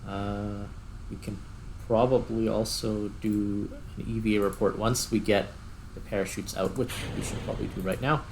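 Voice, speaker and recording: Low-voiced man casually commentating a game. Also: level tone, creaky, a little mellow, slight background noise, poor audio. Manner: thinking aloud in short, halting remarks. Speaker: gaming host.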